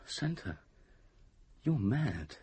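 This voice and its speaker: An actor in a radio drama playing a sensible young man, a boyfriend with a breathy, husky voice and a conversational manner. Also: medium pitch, very animated, extremely slow, darkish tone, full character voice. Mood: dismayed. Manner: conversational, placating, turning exasperated.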